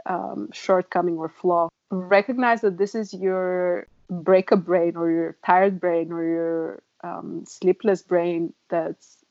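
A middle-aged woman from Bulgaria giving reflective advice in a conversation, laughing softly between points. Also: on the low side, steady tone, slow, no echo, dull audio. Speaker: guest adviser.

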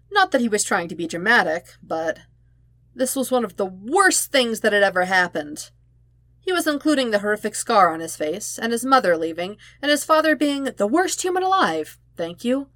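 A woman reading fan fiction aloud in an expressive audiobook style, with emphatic, dramatic phrasing. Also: mid-range voice, no echo. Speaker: podfic narrator.

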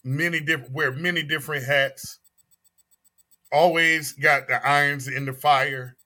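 A man in his early forties hosting a podcast, talking in an animated, emphatic way and often repeating phrases. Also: fairly high pitch, measured pace. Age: 40s. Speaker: podcast host. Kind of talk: casual chat.

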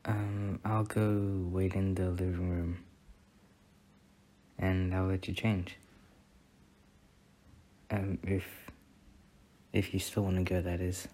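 A man acts out a sweet, shy young student boyfriend in an ASMR roleplay. His voice is low and stays fairly flat, with a slow, hesitant delivery. He comes across as soft-spoken and bashful on a first date. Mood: shy, hopeful.

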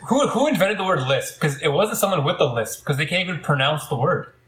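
A man's voice: fairly high pitch, lively intonation, brisk, fairly bright tone. A young man co-hosting a basketball podcast, joking and poking fun at himself in casual sports chat. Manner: joking, self-mocking. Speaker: podcast co-host. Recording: echoey cheap mic.

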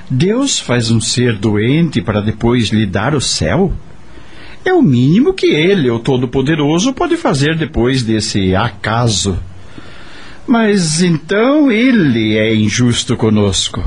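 An actor playing a bitter, brooding working man with a husky voice and a curt, questioning manner in a radio drama. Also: mid-range voice, wildly swooping pitch, slow, exaggerated voice. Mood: troubled, questioning. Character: bitter, brooding working man haunted by rejecting his son.

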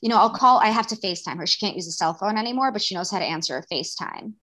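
A woman, speaking as a guest in a candid interview, earnest and animated, acting out bits of dialogue as she talks. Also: mid-range voice, racing. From New York. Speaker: guest, daughter and caregiver.

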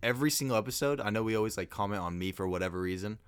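A young man co-hosting a podcast, with a deep voice and blunt, deadpan replies. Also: racing, clear, resonant tone, dry recording. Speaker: podcast co-host. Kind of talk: casual podcast chat.

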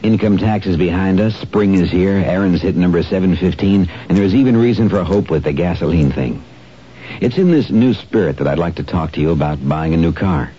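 Deep husky commercial announcer, man. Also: a little fast. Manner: relaxed, conversational pitch. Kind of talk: 1970s radio commercial read. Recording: dull lo-fi old radio broadcast.

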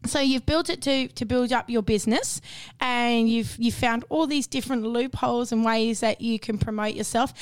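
A woman with a croaky voice co-hosts a radio chat and feels her way through long questions. Her voice sits a bit high and sounds close and dry. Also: dry recording. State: croaky from illness. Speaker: radio co-host. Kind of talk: radio interview.

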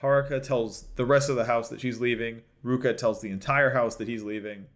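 A man's voice: mid-range voice, fairly even intonation, a little fast. A man co-hosting a podcast, chatting casually and animatedly, laughing often and restarting his sentences. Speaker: podcast co-host. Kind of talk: casual chat recapping a reality show.

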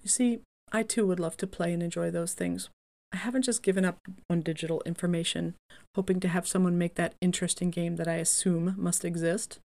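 A woman reading the answers of a calm older male company founder in an imagined interview, in a modest, measured way. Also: on the low side, vocal fry.

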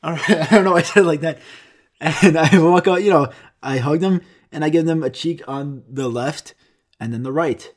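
Rambling, cursing young man, slightly high voice. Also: moderate pace. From United States. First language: Greek. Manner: rambling, profane, self-mocking. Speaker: YouTuber answering viewer questions. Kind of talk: casual solo Q and A.

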